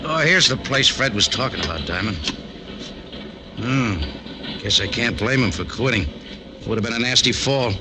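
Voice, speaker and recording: A man's voice: medium pitch, expressive, moderate pace, long pauses, natural voice. An actor playing an amiable, easygoing American factory foreman with a casual, reassuring manner in an old radio drama. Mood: explaining. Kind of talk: 1940s radio drama acting. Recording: faintly noisy old radio recording.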